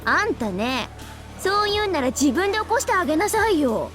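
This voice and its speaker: Anime game schoolgirl, extremely high pitch, pert and wary, clear music behind. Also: sing-song, rapid-fire, a bit bright, heavily acted. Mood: reluctant, indignant. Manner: pert, complaining schoolgirl talk. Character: feisty, wary teenage schoolgirl classmate. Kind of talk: Japanese anime visual novel acting.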